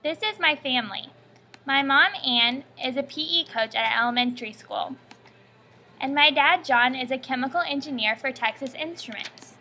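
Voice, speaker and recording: A young woman reads her family-history project aloud, holding an even, rehearsed recitation. Her voice sits high and sounds bright and sharp. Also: normal speed, a little hiss or hum. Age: young adult. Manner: steady scripted recitation. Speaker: student presenter. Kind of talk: reading a school family-history presentation.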